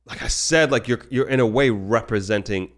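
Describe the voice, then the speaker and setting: A man hosting a podcast in casual chat, talking in a halting way with frequent "like" asides. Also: medium pitch. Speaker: podcast host.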